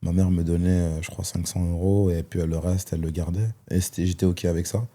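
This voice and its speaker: Guest, man, 30s: low, warm, dark voice; hesitant, thinking aloud. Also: flat delivery, brisk. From Belgium. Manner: reflective, hesitant with many fillers. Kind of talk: personal testimony in conversation.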